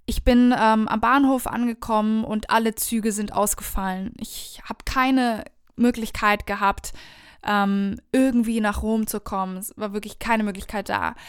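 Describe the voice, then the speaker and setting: Woman with a very smooth, clear voice. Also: a little high, dry recording, clean studio sound. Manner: animated, conversational recounting. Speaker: vlogger telling a travel story. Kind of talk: casual personal storytelling to viewers.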